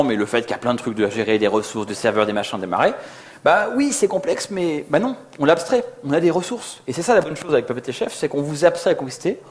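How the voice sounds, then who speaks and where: A man giving an informal technical conference talk in a slangy, conversational way, often acting out little dialogues. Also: on the high side. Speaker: conference co-speaker, software developer.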